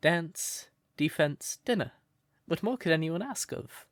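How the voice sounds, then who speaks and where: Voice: medium pitch, expressive, measured pace, dry recording, studio quality, close to an everyday voice. A performer voicing a shy, smitten crow turned human in an intimate comfort roleplay, hesitant and stammering, naively earnest.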